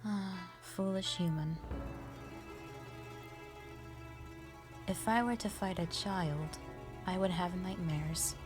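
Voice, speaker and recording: A woman playing a calm, stern, ancient female dragon guardian in a roleplay audio drama, speaking in a formal, measured, proud way. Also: fairly low pitch, music in the background, natural voice. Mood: stern, amused.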